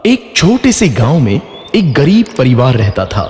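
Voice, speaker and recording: A man narrates a children's cartoon tale in a medium-pitched voice. His delivery is animated, set in a long reverb over faint music. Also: unhurried.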